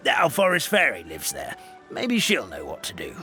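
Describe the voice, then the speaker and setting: A gentle, forgetful old paper elder rambles and frets in a bright, lively voice that rises and falls dramatically. He sounds grandfatherly and theatrical, and faint music plays behind him. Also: medium pitch, normal speed. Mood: friendly. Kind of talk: video game dub acting.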